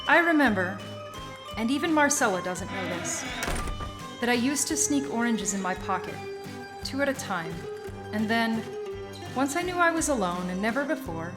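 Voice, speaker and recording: A woman playing an older Sicilian woman who narrates her youth in a radio play, measured, confiding and wistful. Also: a little high, animated, over music, close to an everyday voice. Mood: wistful, sensual.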